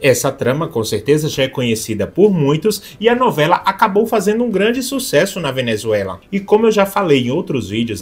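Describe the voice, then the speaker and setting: Husky, animated Brazilian man narrating. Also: fairly high pitch. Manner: chatty, explanatory, addresses viewers directly. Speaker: video host and narrator. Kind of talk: scripted narration of telenovela history.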